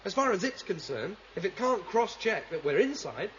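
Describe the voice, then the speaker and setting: A wary rebel in hiding. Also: male voice, sits high, very animated, normal speed, a bit bright. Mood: hushed. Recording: dull old radio recording, faint noise.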